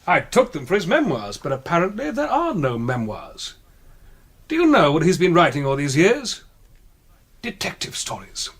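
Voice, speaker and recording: An urbane English gentleman in a British radio drama. His voice sits high for a man and swings animatedly, polite and drily teasing with a faint menace underneath. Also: huge pitch swings, normal speed, slightly rough, heavily acted.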